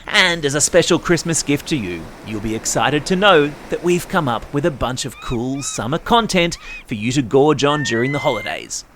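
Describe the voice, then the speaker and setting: A man's voice: a little high, big pitch swings, moderate pace, hardly any pauses, a bit bright, a little hiss or hum. An Australian man hosting a news podcast for children, reading scripted stories in a chatty, playful way and speaking straight to young listeners. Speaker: podcast host.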